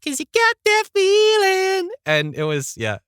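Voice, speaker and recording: A man chats as a podcast guest in a bright, forward voice. He tells stories in an animated, conversational way, acting out remarks as he goes. The sound is close and dry. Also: extremely high pitch, unhurried, dry recording. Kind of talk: casual conversational storytelling.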